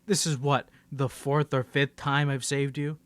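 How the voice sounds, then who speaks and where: A man's voice: mid-range voice, level tone, measured pace, clean tone, dry recording, lightly acted. A man playing a confident, teasing young superhero in a scripted audio roleplay, bantering playfully and stammering when he gets flustered. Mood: smug.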